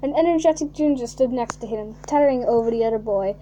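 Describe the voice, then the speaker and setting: A woman reading a fan fiction aloud in a mocking way, reading flatly and then bursting into shrieking, laughing asides. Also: fairly high pitch, fast talker, slight background noise, low-quality recording.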